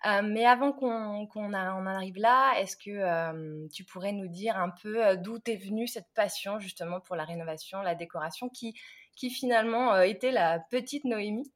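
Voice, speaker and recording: A woman's voice: mid-range voice, normal speed, dry recording, studio quality. A woman hosting a home renovation podcast, reading a cheerful scripted intro and then chatting with her guest with many fillers. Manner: bright, upbeat delivery in the read intro, hesitant with fillers in conversation. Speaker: podcast host.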